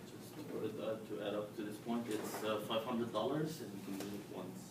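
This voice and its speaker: A man's voice: fairly low pitch, steady tone, normal speed. A man from Egypt, a PhD student, speaking hesitantly with filler sounds. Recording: echoey classroom, distant mic, noise.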